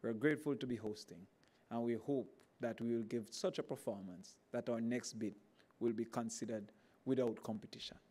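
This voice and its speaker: Emphatic Jamaican man giving a speech. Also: normal speed. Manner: animated, emphatic, climbing pitch on key points. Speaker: government minister. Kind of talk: formal speech at an event.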